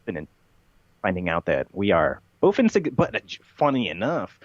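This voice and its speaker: Low-voiced man in an echoey room. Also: huge pitch swings, normal speed, dull audio. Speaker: podcast guest. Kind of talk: rambling interview storytelling.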